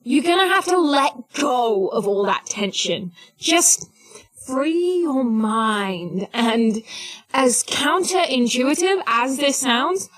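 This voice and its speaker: A woman playing an earnest, brainy young hero in an audio drama, halting and encouraging. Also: sits high, animated, a bit bright, full character voice. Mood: encouraging, careful.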